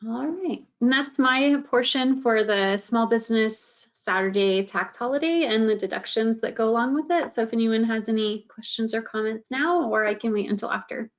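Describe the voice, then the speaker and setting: A woman's voice: on the high side, moderate pace, hardly any pauses. Woman explaining tax rules on a webinar. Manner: informal explaining with ums. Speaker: tax agency presenter. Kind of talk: webinar presentation. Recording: dull lo-fi web call audio.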